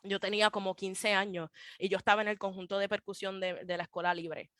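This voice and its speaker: A woman's voice: medium pitch, little pitch movement, brisk, a bit bright, no room sound, studio quality. A young woman from Puerto Rico with a Puerto Rican Spanish accent, a guest speaking reflectively with frequent hesitations and English asides. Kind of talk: casual conversation.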